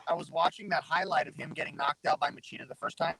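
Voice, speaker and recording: A man from Southern Arizona talks in a loose, rambling way, scattering fillers as he goes. His voice sits a bit high for a man. He comes through a remote call mic that pops now and then. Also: fairly even intonation, quick pace, creaky, bright, forceful tone, poor audio. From Southern Arizona, USA. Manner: laid-back, rambling, full of fillers. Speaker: guest, MMA fighter. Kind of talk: casual interview chat. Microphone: remote call mic with popping.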